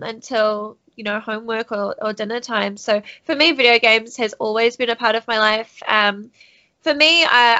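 A young woman with a New Zealand accent talks in a relaxed, chatty way, full of little laughs and fillers. Her voice sits a bit high and sounds bright. The audio is somewhat dull and lo-fi. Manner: chatty, laughs often, many fillers and restarts. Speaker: guest, studio CEO and streamer. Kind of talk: casual podcast interview.

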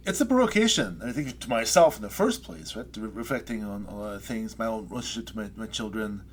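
A middle-aged man, a poet, reading his poem and then talking it over in a thoughtful, hesitant way with many pauses. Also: lowish voice, lively intonation, a little hiss or hum. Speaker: poet guest. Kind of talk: poetry reading and interview.

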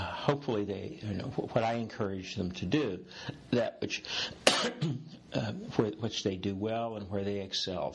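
A man in his late sixties answers interview questions in a low, deep voice. He muses at length and circles back on his own sentences. A faint hum of room ambience sits behind him. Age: 60s. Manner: reflective, rambling with restarts, occasional laughs. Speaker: executive coach, guest. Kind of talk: interview conversation.

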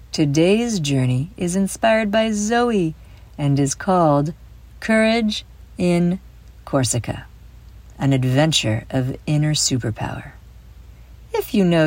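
A woman narrates a guided visualization for children as its host. Her voice sits a little low and she speaks very slowly. She gives each instruction in a soothing, deliberate manner, with gentle emphasis on key words. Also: no room sound. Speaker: host and guide of a children's mindfulness audio show.

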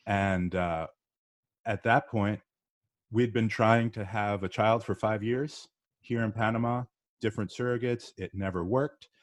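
A middle-aged man from the northeastern US talks as a podcast guest in a low, unhurried voice. He is chatty and easygoing and often pauses with ums and uhs. The recording is dry, with no room echo. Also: fairly even intonation. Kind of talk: casual interview storytelling.

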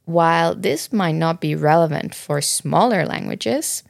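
A woman in her thirties from Finland, co-hosting a podcast and calmly explaining tips to parents. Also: low-pitched, measured pace, creaky, no room sound, clean studio sound. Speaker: podcast co-host. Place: Montreal, Canada.

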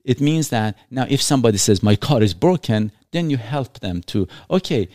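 Man from Iran, podcast host, earnest, explains with examples, mid pitch, dry close sound. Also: lively intonation, normal speed, a little mellow, no room sound. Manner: earnest, explains with examples, non-native phrasing. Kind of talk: reflective conversational podcast.

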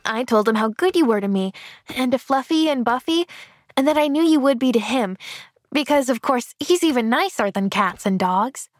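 Woman voicing an eager, high-pitched young girl. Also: lively intonation, normal speed, no room sound. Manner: breathless, earnest, gushing. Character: eager, impulsive, kind-hearted chattering young girl. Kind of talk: audiobook character voice.

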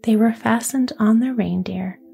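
Slow whispering woman reading a story. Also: a little high, creaky, slightly rough, faint music behind. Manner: soft unvoiced whisper. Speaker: narrator.

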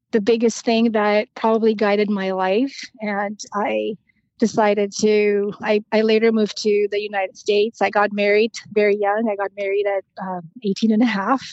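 A woman in her forties, raised in Romania, speaking as a podcast guest in a reflective way, pausing often with ums and restarting her sentences. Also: mid-range voice, darkish tone, no echo, dull audio. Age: 40s. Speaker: podcast guest, financial planner. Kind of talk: conversational interview.

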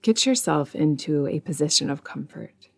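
A woman leading a guided meditation in a soothing, measured way, leaving long pauses between phrases. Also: deep voice, expressive, gravelly. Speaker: meditation guide, podcast host.

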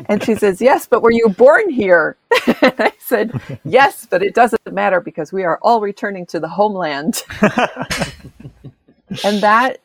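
A middle-aged American woman with a low, gravelly voice tells stories in a relaxed interview. She laughs often and drops into other people's voices as she quotes them. The sound is close and dry. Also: dry recording. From United States. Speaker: author, interview guest. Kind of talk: casual interview conversation.

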